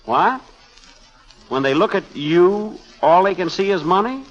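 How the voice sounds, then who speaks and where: An actor playing a brash, glib newspaper reporter who teases and wisecracks, in 1940s radio comedy style. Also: male voice, sits high, lively intonation, measured pace, fairly bright tone, muffled recording, full character voice. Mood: teasing, sly.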